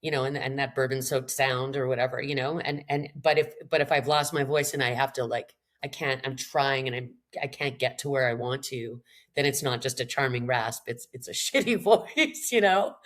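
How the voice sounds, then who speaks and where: A middle-aged Canadian singer-songwriter woman with a slightly raspy voice, talking candidly in a podcast interview, rambling and self-deprecating. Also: rumbling low, normal speed. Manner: rambling, self-deprecating, frequent laughs and restarts.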